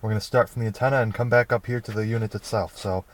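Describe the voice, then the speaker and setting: Low-voiced man filming a car walkthrough. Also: quick pace. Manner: relaxed, rambling with fillers. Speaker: vlogger. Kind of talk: casual how-to walkthrough. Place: outdoors in snow by a car, then inside the car.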